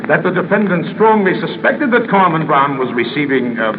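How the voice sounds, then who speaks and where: Male, fervent defense attorney, fairly high voice, theatrical jury oratory, noisy vintage radio sound. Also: very animated, moderate pace. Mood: impassioned, earnest. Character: impassioned male defense counsel addressing a jury. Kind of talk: 1940s radio acting, courtroom oratory. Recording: muffled, noisy old radio recording.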